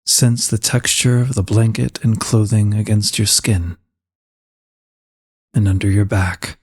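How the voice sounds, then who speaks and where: A man leading a slow guided meditation in a calm, soothing and unhurried manner, with a soft husky voice. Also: on the low side.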